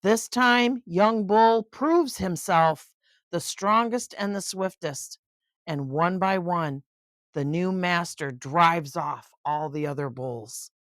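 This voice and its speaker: An older woman reading a children's picture book aloud in an expressive, unhurried way, with chatty asides of wonder. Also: lowish voice, no room sound.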